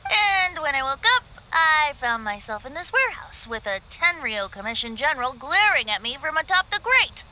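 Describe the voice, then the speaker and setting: A woman's voice: sits high, very animated, normal speed, hardly any pauses, noisy background. A woman playing a playful, mischievous young male bard in a video game, theatrical and lilting. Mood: breezy storytelling. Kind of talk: video game cutscene acting.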